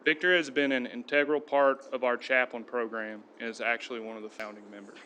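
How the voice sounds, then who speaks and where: Earnest police sergeant reading a tribute. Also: male voice, mid-range voice, steady tone, fairly quick, bright, forceful tone, slight background noise, rough recording. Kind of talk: prepared speech. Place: council chamber.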